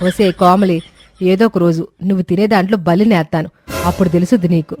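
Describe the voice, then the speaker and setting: A woman's voice: on the low side, steady tone, fairly quick, dark tone, soft background music, close to an everyday voice. A woman voicing a lazy middle-aged mother-in-law in a cartoon story, bossy and indignant. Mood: alarmed, scolding.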